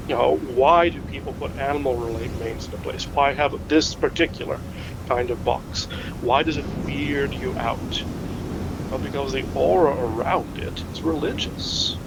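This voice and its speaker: A man playing a learned, hesitant history scholar in a naturalistic fiction podcast, speaking in a halting, pedantic way. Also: on the high side, noisy background. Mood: musing.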